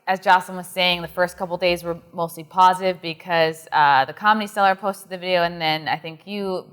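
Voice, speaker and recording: An earnest woman comedian speaks with passion, using plenty of fillers. Her voice sits a little low. She is giving an interview. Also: steady tone, a little fast, fairly bright tone, smooth. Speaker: comedian guest. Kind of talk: interview conversation.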